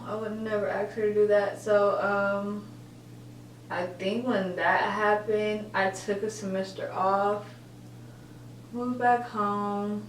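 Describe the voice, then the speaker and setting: A woman in her twenties chatting casually while doing her makeup, rambling and correcting herself, with lots of filler words. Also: medium pitch. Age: 20s. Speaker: college student vlogger. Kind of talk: casual get-ready-with-me chat. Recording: roomy echo, distant mic, faint hum.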